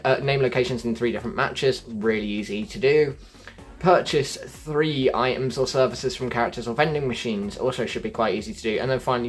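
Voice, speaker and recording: A man with a slightly husky, breathy voice runs through a game walkthrough as a channel host. He keeps it chatty and talks straight to his viewers as folks and guys, with a pitch that sits in an ordinary middle range. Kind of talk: gameplay walkthrough commentary.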